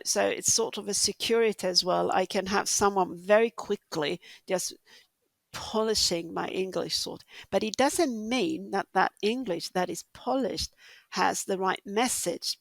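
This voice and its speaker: A woman's voice: mid-range voice, normal speed, vocal fry, no echo. A woman from southern Sweden who speaks English with a Swedish accent, hosting an interview in a chatty way and laughing between phrases. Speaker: podcast host. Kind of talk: conversational interview.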